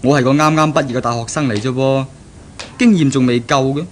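Casting: man playing young male graduate fiancé, pragmatic and persuasive, medium pitch, 1970s radio drama with faint noise. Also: steady tone, quick pace, natural voice. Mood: earnest, persuasive. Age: young adult. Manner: explanatory, earnest, persuasive.

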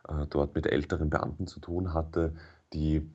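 A man speaking German as his first language, a radio interview guest who explains things in a measured way with frequent hesitations. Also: a bass voice, flat delivery, dark tone, slightly rough, muffled recording. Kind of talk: thoughtful radio interview answers.

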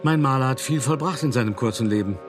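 A man's voice: medium pitch, fast, over music, natural voice. An actor playing a self-justifying art dealer who recounts his past in a genteel, earnest way, as a monologue in a German radio play. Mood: self-righteous conviction.

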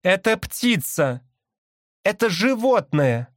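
A man voicing an exasperated, sharp-tongued woman in an audiobook, with impatient, sarcastic exclamations. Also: high-pitched, sing-song, slow, no room sound, clean studio sound, pitched above the performer's own voice, heavily acted. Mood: irritated, sarcastic. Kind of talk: dramatized audiobook character.

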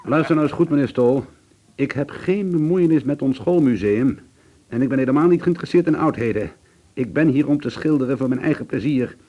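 A man's voice: mid-range voice, fairly quick, highs cut off, lightly acted. An actor playing a nervy, earnest man, suspicious and haunted, in a radio drama. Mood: indignant. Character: jittery, indignant male amateur painter, haunted and suspicious.

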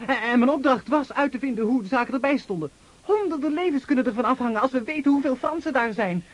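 An actor playing a naive, brave young soldier in a radio drama, earnest and eagerly enthusiastic. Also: male voice, very high voice, fast talker, muffled recording. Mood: insistent, eager.

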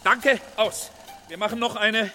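An actor playing an obsessive, commanding film director in a German radio drama, insistent and emphatic. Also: male voice, high-pitched, fairly even intonation, a little slow. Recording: reverberant hall with music bed.

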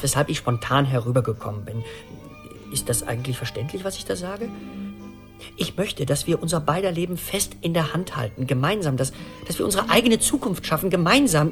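An actor in a radio play voicing an anxious middle-aged man who tells his story in an urgent, animated, rambling conversational monologue. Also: high-pitched. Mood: earnest, urgent. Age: 40s.

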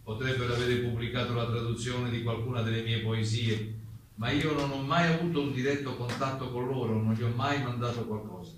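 A man's voice: mid-range voice, fairly even intonation, brisk, close to an everyday voice. A man acting an evasive, polite writer testifying formally and hesitantly before a commission in a stage drama. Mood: careful, polite. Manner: hesitant, noncommittal, formal answers. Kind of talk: stage courtroom drama dialogue. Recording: distant, very echoey stage recording, faint noise.

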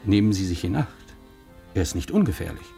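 Wry nervous professor, gravelly voice, voice jumps up and down a lot, stammers when pushed. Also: male voice, low voice, very animated, a little fast, dark tone, soft background music, full character voice. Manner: dry and cautious, flustered and stammering under pressure. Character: nervous, wry older male professor conspirator. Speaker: professor and undercover policeman. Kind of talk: German radio play acting.

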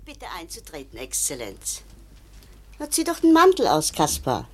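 Animated, fussing motherly housewife. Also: low voice, normal speed, slight background noise. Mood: flustered, welcoming. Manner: solicitous, eagerly polite, bustling. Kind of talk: classic German radio play acting.

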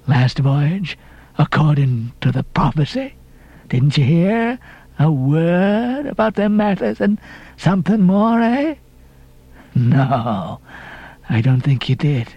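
A man's voice: on the high side, slightly rough, dry recording, highs cut off, pitched above the performer's own voice. A man voicing a ragged old sailor stranger in an audiobook, cryptic and derisive, with emphatic archaic seafaring talk. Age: older. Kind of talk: audiobook character reading.

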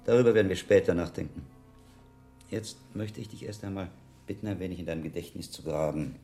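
Calm monk sleuth in a radio drama, really deep mellow voice, cool and methodical, probing. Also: male voice, soft background music. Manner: measured, probing, coolly reasoning. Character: shrewd, calm monk investigator, methodically questioning. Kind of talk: German radio drama acting.

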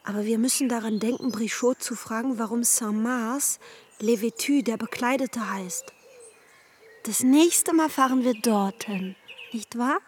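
A capricious young woman in love, played by an actress, with a slightly high voice and firm opinions. She speaks directly, as in a literary radio play, over noisy outdoor ambience with birdsong. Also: unhurried, darkish tone, close to an everyday voice. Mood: opinionated, musing. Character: Albertine, young female lover, capricious and opinionated. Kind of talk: German literary radio drama acting.

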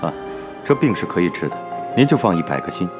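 A man's voice: sits low, very animated, fast, over music. A man playing a smug, soothing doctor in an audio drama, placating and oily in his reassurance. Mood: smoothly reassuring. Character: smug, soothing male correction-clinic doctor.

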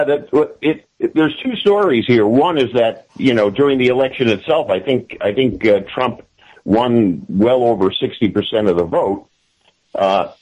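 A man from the United States giving political commentary as an interview guest, speaking haltingly with repeated words. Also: on the low side, darkish tone, poor audio.

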